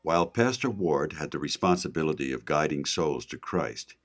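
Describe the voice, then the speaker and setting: Super deep male narrator, calm documentary read. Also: normal speed.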